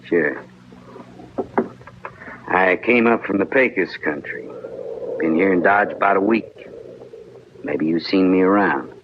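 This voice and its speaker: A man's voice: deep voice, slow, long pauses. A man playing a menacing hired gunman in a 1950s radio western, talking in terse, drawling, rustic speech. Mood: cold, goading. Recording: noisy dull vintage radio recording.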